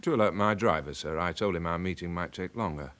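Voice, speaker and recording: An actor playing a polite but relentless British police inspector, measured and insistent, in a radio crime drama. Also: male voice, low-pitched, a bit bright. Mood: calm, calculating.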